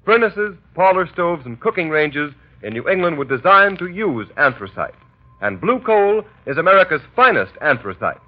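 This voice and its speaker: Highish, animated male radio announcer. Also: breathy edge. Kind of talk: scripted 1930s radio advertising announcement. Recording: dull old radio recording.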